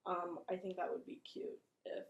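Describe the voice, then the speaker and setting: A woman's voice: lowish voice, completely flat delivery, moderate pace, muffled recording. A woman giving casual video commentary as a host, chatty, rambling and self-deprecating. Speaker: host of a horror video channel. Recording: roomy, echoing room sound.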